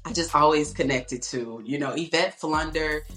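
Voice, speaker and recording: A woman with a low alto voice, telling stories in a reflective way and peppering her talk with "you know". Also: moderate pace, roomy sound, faint music behind, rough recording. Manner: warm, reflective storytelling with many "you know"s. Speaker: guest singer. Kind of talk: casual interview talk.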